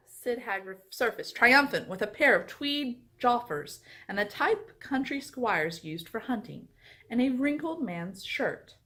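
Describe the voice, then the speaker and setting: A woman book vlogger chatting casually and reading aloud in an animated, expressive way. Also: medium pitch.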